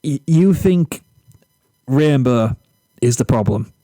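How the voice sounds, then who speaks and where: A man playing a weary, unimpressed teenage boy, deadpan and resigned, in a story reading. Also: medium pitch, takes it slowly, dry recording. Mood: disbelieving.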